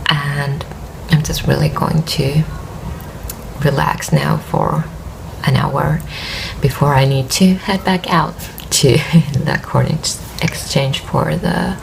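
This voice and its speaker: A woman's voice: low voice, vocal fry, a little hiss or hum, low-quality recording. A tired woman from Finland with a Finnish accent and a worn voice, hosting a knitting podcast and chatting hesitantly with many fillers. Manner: hesitant, rambling with many fillers and soft laughs. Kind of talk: casual vlog chat.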